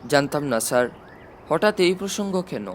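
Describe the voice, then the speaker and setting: A man voicing a polite, worried, learned secretary in a deferential, measured way for an audiobook. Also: a little high, expressive, a little hiss or hum, lightly acted. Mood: politely uneasy. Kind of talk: audiobook character dialogue.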